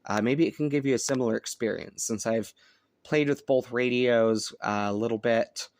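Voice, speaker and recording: A man giving a casual hands-on product review, thinking aloud in a halting way. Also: mid-range voice, a little mellow, dry recording. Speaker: host of a shortwave radio review channel. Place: indoors at home, upstairs room.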